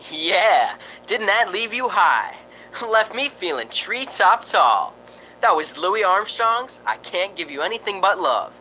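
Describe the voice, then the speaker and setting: A woman playing an excitable, swooping radio disc jockey. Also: medium pitch, slow pace, slight background noise, exaggerated voice, poor audio. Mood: upbeat. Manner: hammy, teasing showman patter. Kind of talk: old-time radio drama acting.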